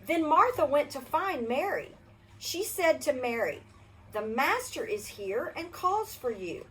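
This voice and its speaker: An older woman reading a Bible story to children in a gentle storybook manner, stopping to ask the kids questions. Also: high voice, sing-song, a little slow, slight background noise.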